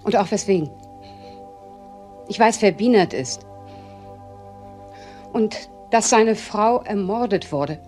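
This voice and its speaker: A woman's voice: mid-range voice, lively intonation, takes it slowly, pauses often, darkish tone. An actress playing an anxious, insistent woman in a crime radio drama, pleading and probing in short phrases. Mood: insistent, accusing. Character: anxious, insistent woman confronting a troubled man. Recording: music under the dialogue.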